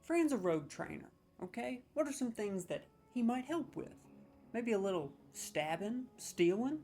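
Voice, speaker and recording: A man's voice: very high-pitched, big pitch swings, normal speed, warm tone, faint music behind. A man hosting a comedic video, ranting sarcastically with mock outrage as he scores game voice lines.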